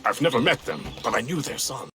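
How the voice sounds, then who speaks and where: A man's voice: mid-range voice, big pitch swings, fairly quick, loud music behind the voice, close to an everyday voice. An actor playing a jaunty, wry male adventurer from colonial times, friendly and talkative, in a video game drama.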